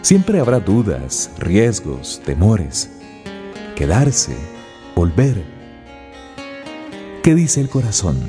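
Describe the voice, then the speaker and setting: A reflective narrator for a radio serial. His low voice moves expressively through wistful, poetic phrases over guitar music. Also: huge pitch swings, very slow, long pauses, heavily acted.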